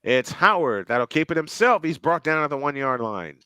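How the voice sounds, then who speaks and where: Bright excited play-by-play man. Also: a little high, moderate pace, smooth, dry recording. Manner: excitable, rises sharply on big plays. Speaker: play-by-play commentator. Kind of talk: live game commentary with banter.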